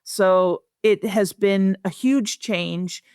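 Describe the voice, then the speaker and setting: A woman's voice: mid-range voice, drawn-out words, a little mellow, smooth, dry recording, studio quality. A woman speaking as an expert guest, explaining things in articulate, plain-spoken interview answers. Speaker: expert guest, professor and researcher.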